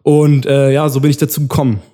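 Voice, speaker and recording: A man's voice: medium pitch, little pitch movement, quick pace, mellow. A young man, chatting informally and with slang as a podcast co-host. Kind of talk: casual chat.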